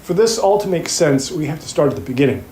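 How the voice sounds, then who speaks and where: A man playing an earnest, grave plaintiffs' attorney in an audio drama, speaking formally and with deliberate pauses. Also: fairly high pitch, sing-song, dark tone. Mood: earnest, persuasive. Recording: roomy echo, faint background noise.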